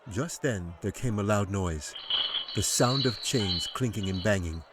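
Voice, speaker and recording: A man reads a fantasy audiobook in a low-pitched voice. His delivery is measured and dramatic, in the manner of scripted storytelling. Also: heavy background noise. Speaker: author reading own audiobook.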